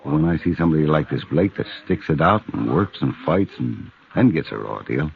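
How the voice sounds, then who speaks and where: An actor playing a polite, earnest drifting card dealer in a vintage radio western. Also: male voice, extremely low pitch, lively intonation, a little fast, creaky, exaggerated voice. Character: quiet, drifting male card dealer with a soft heart. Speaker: gambler. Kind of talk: 1950s radio drama acting. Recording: dull lo-fi vintage radio recording with music.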